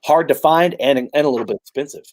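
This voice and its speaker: A man talking casually as a guest collector, halting and restarting, friendly and agreeable. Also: fairly high pitch, a little mellow. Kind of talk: casual chat about vinyl records.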